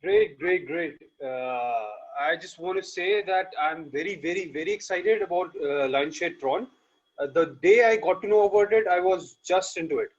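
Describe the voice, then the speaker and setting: A man's voice: a little high, moderate pace. Eager man from Malaysia, dull audio. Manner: eager, repetitive, a little rambling. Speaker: participant on a call. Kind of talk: casual chat. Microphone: video call. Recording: dull video-call audio.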